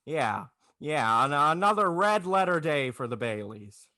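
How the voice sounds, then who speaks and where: An actor playing an agitated small-town family man in 1940s radio drama, halting and stammering as he talks. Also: fairly high pitch, animated, sharp tone, no room sound, clean studio sound. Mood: irritable, frantic. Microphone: close, dry video-call microphone.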